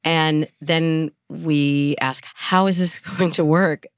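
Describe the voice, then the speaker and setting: An American woman with a low-pitched voice gives conversational expert advice. She explains things with emphasis, as a coach would, in a dry, close recording. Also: fairly even intonation, slow, smooth, dry recording. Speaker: dating coach, podcast guest.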